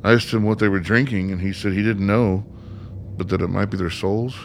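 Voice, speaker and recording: Male actor as sardonic, foul-mouthed son, radio play, low register, faintly noisy track. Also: steady tone, a little fast, creaky. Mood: bitter. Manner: blunt, sardonic. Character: bitter, sarcastic, foul-mouthed son of an ailing father.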